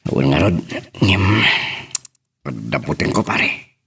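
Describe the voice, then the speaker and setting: A man's voice: low-pitched, slow pace, fairly bright tone, breathy edge, full character voice. A man playing an anxious husband in an amateur audio drama, talking hesitantly and conversationally. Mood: worried. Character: anxious Ilocano husband left at home with the children.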